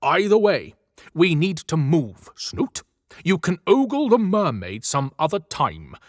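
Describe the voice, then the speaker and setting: A man voicing a stern older male leader, gruff and authoritative, in a cinematic audiobook. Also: on the high side, huge pitch swings, takes it slowly, dry recording, studio quality, voice pushed up, full character voice.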